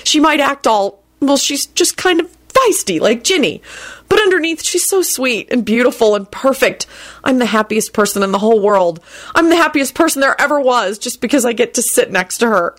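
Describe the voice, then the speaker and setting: A woman's voice: medium pitch, lively intonation, normal speed, darkish tone, no echo, natural voice. A woman voicing an awkward, earnest young man in a storybook reading, hesitant and apologetic. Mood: dreamy.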